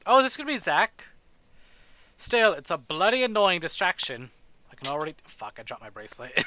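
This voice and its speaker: A man's voice: high-pitched, very animated, a little fast, pushed and bright, a little hiss or hum. A man live-streaming a visual novel, reading it aloud dramatically with casual, joking, sweary asides, over music. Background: game music.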